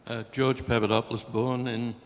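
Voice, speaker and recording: A man's voice: medium pitch, flat delivery, normal speed. A man in his seventies introducing himself in clipped, terse phrases, away from the mic. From Australia. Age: 70s. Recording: distant from mic in echoey hall.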